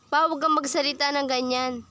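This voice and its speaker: A woman playing a lovestruck, defiant high school daughter in an amateur student radio drama. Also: fairly even intonation, brisk, sharp tone, no room sound. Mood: pleading. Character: lovestruck, defiant twelfth-grade daughter telling her story.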